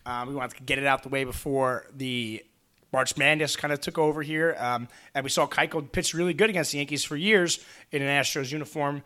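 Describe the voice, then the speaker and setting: A man co-hosting a sports podcast with a slightly raspy, hoarse voice, talking quickly and casually with frequent restarts. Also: a little high, steady tone, sharp tone. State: hoarse after a busy weekend. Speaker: podcast co-host.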